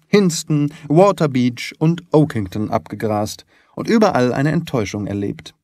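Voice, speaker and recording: A man voicing a shrewd, confident, courteous gentleman detective in an audiobook, formal, articulate and lightly patronising. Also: medium pitch, no room sound, clean studio sound, natural voice. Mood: frustrated. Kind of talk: audiobook character reading.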